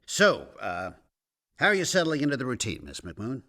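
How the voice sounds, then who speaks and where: An actor playing a slick, long-winded pyramid-scheme recruiter in a comedic radio drama, with an officious, salesy manner. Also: male voice, wildly swooping pitch, normal speed, pushed and bright. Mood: officious, ingratiating. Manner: salesy, officious, hesitant filler-laden pitch. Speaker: company representative.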